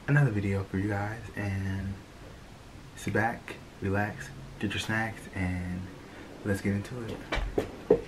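Host brief: young guy, low voice, casual slangy reactions, noisy webcam audio from a bedroom. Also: steady tone, unhurried, pauses often. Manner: loose, slangy, playful asides. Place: bedroom, indoors.

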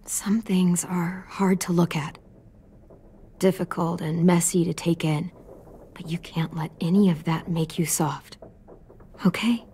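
A woman's voice: lowish voice, fairly even intonation, a little slow, pauses often, mellow, slight background noise. A woman playing a tough, protective teenage girl in a dramatic video game, firm and serious like a young parent. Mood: gentle, serious.

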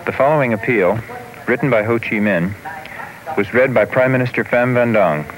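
A man's voice: mid-range voice, moderate pace, low-quality recording. A man delivering scripted documentary narration on an old 16mm film soundtrack.

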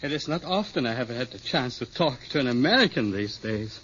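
A man's voice: medium pitch, animated, brisk, a bit bright, lightly acted. A man acts as a trusting elderly scientist in an old radio drama. His polite, hesitant speech rises and falls freely. The sound is muffled. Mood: courteous, eager. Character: courteous elderly European scientist, gentle and trusting. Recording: dull, faintly hissy old radio recording.

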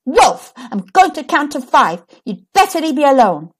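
Plucky storybook girl superhero, woman performer: high, lively, declarative and expressive. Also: moderate pace. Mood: firm. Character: brave, spirited little girl playing superhero. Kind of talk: children's storybook read-aloud.

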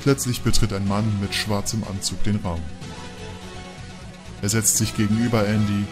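Low-voiced male narrator over music. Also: flat delivery, normal speed. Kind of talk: scripted audio drama narration.

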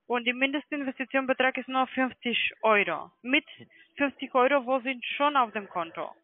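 A woman's voice: high voice, moderate pace. A woman, a non-native German speaker with a foreign accent, making a sales call as a call centre agent. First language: not German. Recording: narrow phone-line sound.

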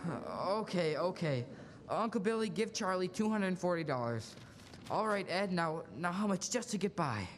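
Young actor as earnest young man, halting pleas, radio play, echoey auditorium, rumbling noise. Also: animated. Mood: hurried relief. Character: earnest young man running a small-town loan office. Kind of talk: 1940s radio play acting. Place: large school auditorium stage. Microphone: stand microphone.

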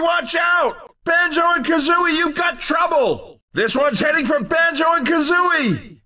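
Shouting, high-pitched arcade race commentator. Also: male voice, far from the mic, full character voice. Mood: urgent, alarmed. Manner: shouted, punchy, showy delivery. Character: excitable, showy male arcade racing commentator. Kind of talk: excited video game race commentary.

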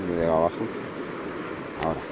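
A man's voice: sits low, completely flat delivery, fairly quick, heavy background noise. Spanish man narrating from a kayak. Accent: Spanish from Spain. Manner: chatty, explains technique to viewers. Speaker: fishing vlogger. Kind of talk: casual on-the-water commentary. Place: outdoors on a kayak at sea.

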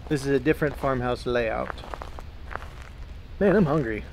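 A man's voice: medium pitch, expressive, moderate pace. A man chatting casually and informally as he streams a horror game, swearing and joking. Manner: informal, profane, jokey. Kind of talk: casual chat while playing a horror game. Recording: clear background game noise.

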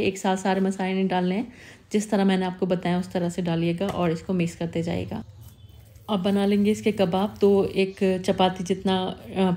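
Woman, cooking host, even monotone, mellow, patient instructive voice-over. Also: medium pitch. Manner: calm, instructive, repeats tips patiently. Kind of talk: step-by-step recipe voice-over.

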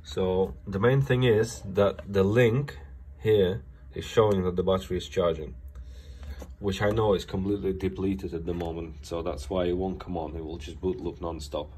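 Low-voiced male repair tech, stuffed up with a cold, walks through a bench test plainly, audible room noise. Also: steady tone, moderate pace, darkish tone. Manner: plain, explanatory, some restarts. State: has a cold. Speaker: phone repair technician. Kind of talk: casual hands-on repair demo. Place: repair workbench indoors. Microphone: phone camera held over bench.

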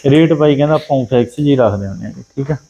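A man with a Punjabi accent hosting a livestock sale video outdoors on a dairy farm, running through each cow's traits in quick rhyming checklists. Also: medium pitch, creaky, lo-fi sound, background noise. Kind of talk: casual livestock sales commentary.

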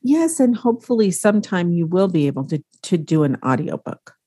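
A woman's voice: lowish voice, big pitch swings, brisk, dark tone, breathy edge, no echo, clean studio sound. A middle-aged woman hosting a podcast interview over a video call, enthusiastic and encouraging. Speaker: podcast host.

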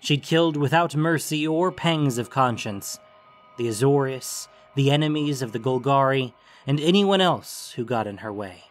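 A man's voice: mid-range voice, animated, normal speed, faint music behind. A man narrating a scripted fantasy story as its narrator.

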